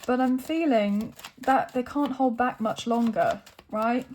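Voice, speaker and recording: A woman with a croaky, tired voice giving a casual tarot reading, recorded on a phone, chatty and often checking in with okay and right. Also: on the high side, slow pace, a little mellow, a little hiss or hum. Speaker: tarot reader.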